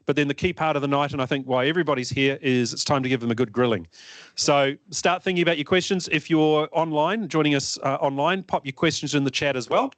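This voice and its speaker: A man's voice: fairly high pitch, fast talker, no room sound. A man hosting a meetup, introducing the speakers and upbeat as he urges the crowd to ask questions. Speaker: meetup host. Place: meetup room with a live audience.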